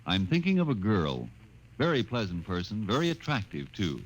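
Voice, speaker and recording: A man's voice: lowish voice, wildly swooping pitch, moderate pace, lo-fi sound. A man announcing in earnest, emphatic 1950s radio sponsor style. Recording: faintly noisy 1950s radio recording.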